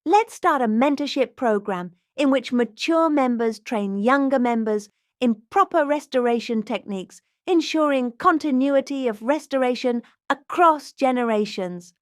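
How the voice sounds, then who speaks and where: An actress playing Sister Sarah, an eager church member who speaks in an organized way. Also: female voice, high voice, moderate pace, no echo, studio quality, natural voice.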